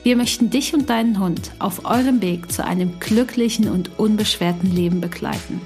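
A woman reading a scripted podcast intro in a measured, upbeat and inviting way. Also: medium pitch. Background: soft background music.

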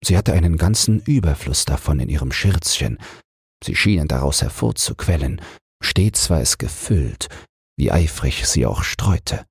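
A man with an extremely low, breathy and husky voice narrates a bedtime fairy tale. His delivery is slow, measured and soothing, recorded dry and close. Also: expressive, no room sound. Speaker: audiobook reader.

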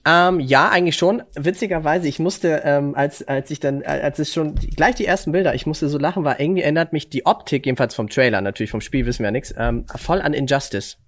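A man's voice: a little high, fast, hardly any pauses, fairly bright tone. A man co-hosting a podcast in casual chat, slangy and dropping in English words. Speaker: podcast co-host. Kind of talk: casual chat about games and comics.

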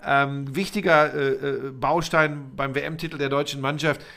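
A man's voice: medium pitch, fairly even intonation, unhurried, vocal fry, sharp tone. A man in his fifties co-hosting a sports podcast, chatting casually with opinionated takes and teasing asides. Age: 50s. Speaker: podcast co-host.

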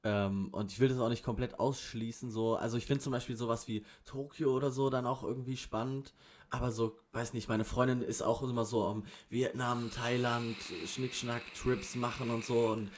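A man talking in a casual chat, rambling in relaxed slang with many fillers, recorded in an apartment kitchen. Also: mid-range voice, moderate pace, a little hiss or hum. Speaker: rapper guest.

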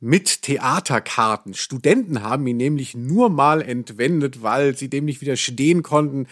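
A man co-hosting a podcast, chatting casually and retelling a story in an excitable, ironic way. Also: mid-range voice, moderate pace. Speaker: podcast co-host. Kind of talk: casual, humorous discussion of an audio drama.

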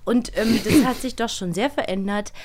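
A woman's voice: on the high side, normal speed, a little mellow. A middle-aged woman, a voice actress, chatting casually as a guest in a studio interview. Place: podcast studio.

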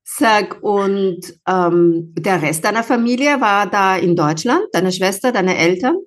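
A woman's voice: mid-range voice, animated, unhurried. A woman hosting a podcast as a linguist, asking eager questions in an interview.

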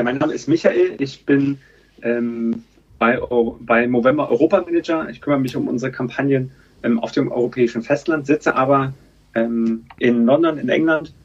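A man's voice: mid-range voice, fairly even intonation, moderate pace, breathy edge, highs cut off. A man in his thirties from Berlin, a podcast guest telling his story in a chatty way with many fillers. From Berlin, Germany. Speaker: podcast guest, charity campaign manager. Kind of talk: casual conversational interview.

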